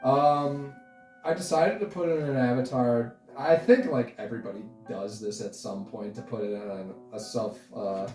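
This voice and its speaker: Young man, mellow tone, halting casual interview talk, off-mic, music behind. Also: medium pitch. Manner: rambling, halting, chuckles often. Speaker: interview guest, online animation creator. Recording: roomy echo, distant mic, music behind.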